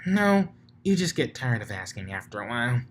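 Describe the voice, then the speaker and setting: A man's voice: medium pitch, big pitch swings, moderate pace, a little hiss or hum, lightly acted. A man voicing a brash, sporty female pegasus pony in a storybook reading, slangy and offhand.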